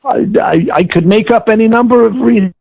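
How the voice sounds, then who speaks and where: Halting, gravelly man on muffled recording. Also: high-pitched, no echo. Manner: hesitant, halting, stammering speech. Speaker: interview guest. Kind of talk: casual recollection. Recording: dull, muffled lo-fi voice track.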